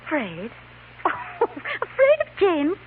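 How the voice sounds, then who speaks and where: A nervy genteel actress putting on english airs, theatrical, old radio drama, tinny and a bit noisy. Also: female voice, extremely high pitch, huge pitch swings, takes it slowly, heavily acted. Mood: tipsy, confessing. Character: tipsy, anxious stage actress feigning English gentility. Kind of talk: 1950s radio western acting. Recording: noisy, narrow-band 1950s radio recording.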